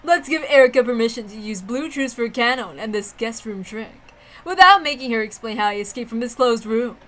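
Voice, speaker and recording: A woman's voice: fairly high pitch, expressive, fairly quick, a bit bright. A woman playing a proud, composed female witch who duels with formal, taunting self-assurance in a dramatic visual novel reading. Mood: commanding.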